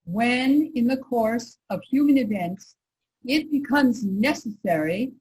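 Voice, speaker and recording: A woman's voice: on the high side, expressive, slow, darkish tone, a little hiss or hum, poor audio. A woman playing an earnest nineteenth-century women's rights reformer, reciting formally in reader's theater. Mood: earnest, resolute.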